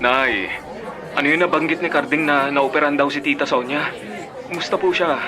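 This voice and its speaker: A man's voice: fairly high pitch, moderate pace, lightly acted. A man with a Filipino accent playing a practical, sceptical but polite grown son in a radio drama. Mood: concerned. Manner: questioning, polite. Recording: music and outdoor crowd sounds behind.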